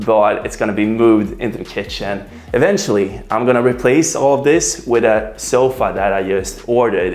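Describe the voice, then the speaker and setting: A young man in his twenties with a Swedish accent, casually showing viewers around his apartment and often checking in with "right?" and "you know". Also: medium pitch. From Sweden. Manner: relaxed, chatty, peppered with "right?" and "you know". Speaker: vlogger. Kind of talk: casual vlog apartment tour. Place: apartment indoors.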